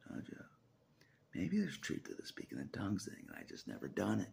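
A man's voice: low-pitched, wildly swooping pitch, a little fast, vocal fry, darkish tone, a little hiss or hum. A man in his forties rambling in a personal vlog, with hesitant restarts, repeated phrases and half-whispered asides, filmed on a phone at arm's length in a small room. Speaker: religious vlogger.

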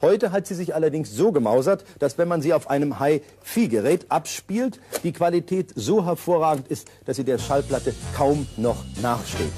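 A man's voice: on the high side, animated, normal speed, hardly any pauses, mellow, faint music behind. A man explaining in a formal, well-phrased way.

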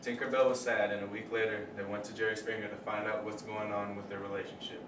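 A man's voice: on the low side, level tone, fast talker. A young man reads the opening of a puppet-show story in a plain scripted way. He sounds distant in an echoey room. Faint hiss runs underneath. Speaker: narrator of a school puppet show. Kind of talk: read-aloud storybook narration.

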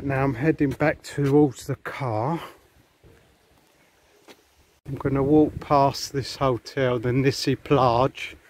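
A man's voice: mid-range voice, darkish tone. A man chatting casually to viewers as he walks along a beach and past hotels, recorded on a handheld camera outdoors. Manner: rambling, chatty, addresses viewers. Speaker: vlogger. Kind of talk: casual walking vlog. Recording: faint outdoor noise.

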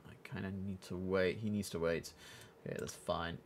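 Low-voiced young man streaming a game. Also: steady tone, normal speed. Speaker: livestreamer playing an air traffic controller. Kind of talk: casual gaming commentary mixed with clipped radio phraseology. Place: indoor home streaming setup. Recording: noisy room with keyboard clicks.

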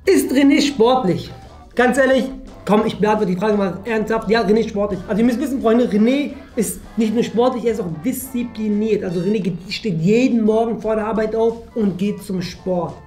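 A young man with a high voice banters casually and teasingly as a video host. The room sounds echoey, and music plays underneath. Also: moderate pace, darkish tone. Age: young adult. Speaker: YouTube host. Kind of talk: playful casual banter, answering viewer questions. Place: furnished indoor room, seated at a table. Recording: roomy echo with music underneath.

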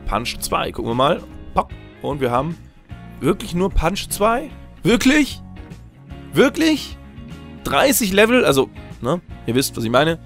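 A young man, slightly hoarse, chatting casually and rambling with many fillers as he comments on his own game. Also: fairly high pitch, sing-song, unhurried, long pauses, a bit bright, soft background music. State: slightly hoarse after a long stream. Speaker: Let's Play host.

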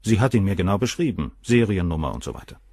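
An actor playing a cool, arrogant, middle-aged surgeon who talks down about the women around him, in a radio play. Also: male voice, low-pitched, big pitch swings, fast talker, gravelly, a little mellow, husky, no room sound, full character voice. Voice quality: cool, cynical, dismissive.

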